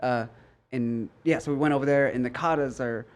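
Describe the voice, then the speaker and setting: A man from Canada hosts a laid-back conversation. He talks at a brisk clip, breaking down fights in a relaxed, slangy way. His voice sits at an ordinary pitch for a man. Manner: rapid, relaxed and slangy, with frequent "like" and "dude". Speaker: podcast host. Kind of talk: casual chat.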